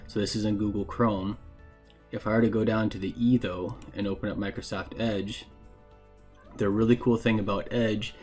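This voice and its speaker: A man narrating a casual software tutorial in a plain, informal, explanatory way. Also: on the low side. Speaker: instructor giving a software tutorial.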